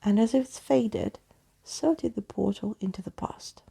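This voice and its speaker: A woman reading a story aloud as a soft-spoken, unhurried and expressive ASMR storyteller. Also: mid-range voice, creaky, slightly rough.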